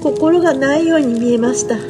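A woman's voice: sits high, fairly quick, over music, natural voice. A woman voicing a timid, devoted female servant in a voice drama, speaking anxiously and deferentially. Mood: worried. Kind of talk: voice drama acting.

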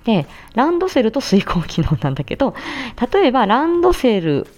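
A woman's voice: on the high side, very animated, brisk, darkish tone. A woman giving a casual explanatory talk as an educational host, going step by step through examples in a conversational way. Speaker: speech-language therapist hosting an educational audio show.

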